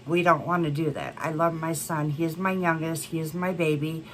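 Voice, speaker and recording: A woman's voice: sits low, fairly even intonation, moderate pace, a little hiss or hum. A woman in her thirties chatting to camera as a beauty vlogger, congested from a cold, rambling with many fillers. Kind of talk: casual chat to camera. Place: home room with a plant wall and neon signs.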